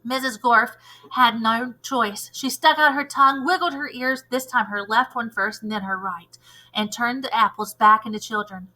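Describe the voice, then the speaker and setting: Bright-voiced woman reading a children's story. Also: on the high side, moderate pace, poor audio. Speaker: teacher reading aloud to children. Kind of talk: expressive children's storybook narration.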